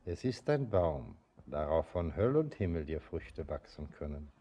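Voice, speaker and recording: A man's voice: a bass voice, big pitch swings, measured pace, mellow, breathy edge, exaggerated voice. An actor playing a brooding, sentimental ageing father and mine master in a German radio play, declamatory and lyrical. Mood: brooding, tender.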